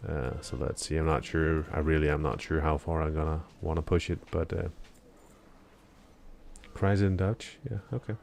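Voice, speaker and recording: A man chats with his stream viewers early in the morning while he plays, still not quite awake. His voice sits very low and barely moves in pitch. He rambles and hesitates, restarting sentences and leaning on fillers. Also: fairly even intonation, moderate pace, gravelly, a little mellow, slight background noise. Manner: chatting with viewers. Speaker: live streamer. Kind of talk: casual live-stream chat while gaming.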